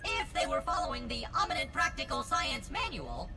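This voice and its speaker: A woman's voice: on the high side, huge pitch swings, normal speed. A woman voicing an eccentric robot lab assistant in a video game, with formal, stilted speech that stutters and glitches. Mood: matter-of-fact. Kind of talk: scripted video game dialogue. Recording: loud music behind. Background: music.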